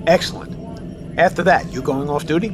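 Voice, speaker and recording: An actor playing a tired, decisive submarine captain who gives calm, brisk orders in an audio drama. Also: male voice, fairly high pitch, over music, close to an everyday voice. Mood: calm command.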